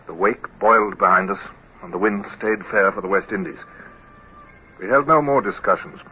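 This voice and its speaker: An actor playing an earnest, resolute naval lieutenant in an old radio drama, speaking in a grave, measured, firm way. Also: male voice, on the low side, lightly acted. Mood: grave narration. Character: earnest, resolute young male naval lieutenant, narrating his tale. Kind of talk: old-time radio drama acting and narration. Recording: dull, noisy old radio recording.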